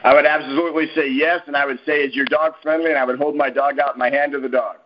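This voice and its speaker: A man's voice: on the high side, fairly even intonation, fast talker, muffled recording, slight background noise. A man on a group call, eagerly jumping in first to answer. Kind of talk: casual chat.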